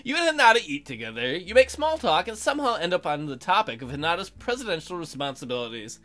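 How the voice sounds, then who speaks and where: A woman's voice: on the low side, very animated, fast talker, fairly bright tone. A woman hosting a let's play, reading aloud and commenting casually in a playful, sarcastic way.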